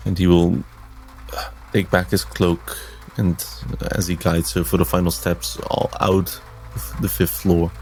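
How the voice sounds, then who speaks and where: A man's voice: deep voice, level tone, a little slow, a little mellow, slight background noise. A man improvising third-person narration as a player in a tabletop game.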